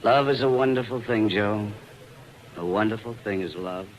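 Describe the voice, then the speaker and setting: An actor playing a confident, smooth-talking showman with a breezy, persuasive manner in a 1940s radio drama. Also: on the low side, moderate pace, heavy background noise, natural voice. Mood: cocky.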